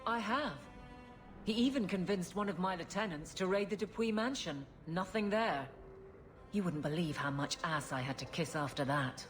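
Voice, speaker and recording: A woman's voice: on the low side, normal speed, soft background music, close to an everyday voice. A woman voicing a brusque, no-nonsense guard captain in a video game, blunt and dry. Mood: exasperated, firm. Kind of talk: video game dialogue acting.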